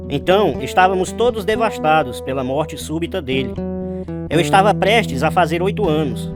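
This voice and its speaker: A man's voice: a little high, lightly acted. An actor playing an earnest man who narrates his own childhood in a radio drama. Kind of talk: first-person dramatized narration.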